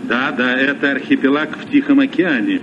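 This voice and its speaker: An actor playing a sensible, knowledgeable sea captain in a vintage radio play, with an explanatory, declaimed delivery. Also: male voice, mid-range voice, normal speed, lightly acted. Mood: informative. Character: sensible, well-informed veteran sea captain. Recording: muffled, noisy old radio recording.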